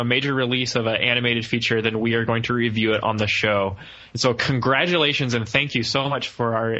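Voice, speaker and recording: This is a man with a bright, forward voice at an ordinary male pitch. He hosts a podcast with enthusiasm and a joking streak, moving easily between banter and review talk. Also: lo-fi sound. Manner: jokey, enthusiastic. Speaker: podcast host.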